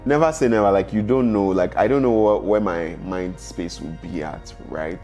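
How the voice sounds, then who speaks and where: A man's voice: deep voice, normal speed, a little mellow. A man giving thoughtful, hedging interview answers. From Nigeria. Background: faint music.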